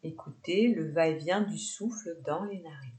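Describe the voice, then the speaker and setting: A woman leading a guided yoga nidra relaxation, giving unhurried, soft instructions with pauses between phrases. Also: low-pitched.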